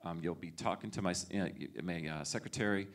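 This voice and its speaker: Deep-voiced reassuring male superintendent. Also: a little fast. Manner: reassuring, with frequent tag questions. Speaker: school superintendent. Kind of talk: public meet-and-greet address. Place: library auditorium. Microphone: handheld microphone.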